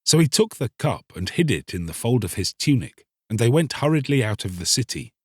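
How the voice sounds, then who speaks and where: A man narrating a literary tale as a scripted audiobook, solemn, deliberate and theatrical. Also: mid-range voice, sing-song, slightly rough, dry recording, studio quality.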